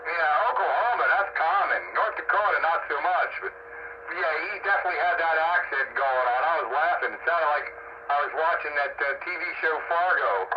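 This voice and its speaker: A man's voice: on the high side, moderate pace. A man chatting casually over amateur radio in a rambling, folksy way, repeating himself as he goes. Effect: AM two-way radio transmission. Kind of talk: casual on-air radio chat. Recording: muffled noisy shortwave radio signal. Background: clear radio static and hiss.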